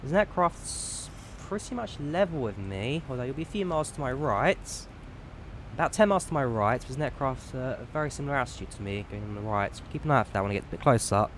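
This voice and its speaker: A man streams a flight simulator with casual, chatty commentary. His voice sits at a middle pitch and he pokes fun at himself as he goes. A light cabin drone runs behind him. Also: lively intonation, quick pace, slight background noise. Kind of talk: casual live stream commentary while flying.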